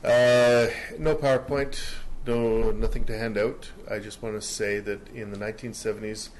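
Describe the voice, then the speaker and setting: A man around fifty, speaking slowly and folksily as a resident at a council public hearing, standing at a podium in a council chamber. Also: fairly low pitch, flat delivery, low-quality recording. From Canada. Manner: slow, folksy storytelling.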